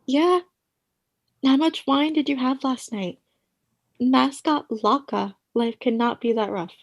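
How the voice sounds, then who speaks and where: Woman as a sassy, higher-voiced best friend. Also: slow, exaggerated voice. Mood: wry, teasing. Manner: slangy, wry. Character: sassy, wry young female best friend. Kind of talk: conversational radio play acting.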